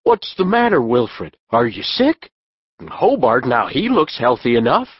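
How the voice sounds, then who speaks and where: Slow, swooping, kindly farmer. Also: male voice, medium pitch, big pitch swings, breathy edge, no echo, lo-fi sound. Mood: worried, tender. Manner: tender, folksy. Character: kindly, worried male farmer. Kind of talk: full-cast children's audiobook acting.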